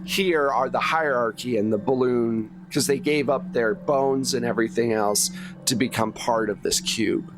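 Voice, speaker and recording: A man running a tabletop horror game, improvising the narration in short phrases with pauses between them. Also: medium pitch. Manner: pausing, piecing out sentences in short phrases. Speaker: game master of a tabletop actual play. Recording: music playing under the voice. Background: music.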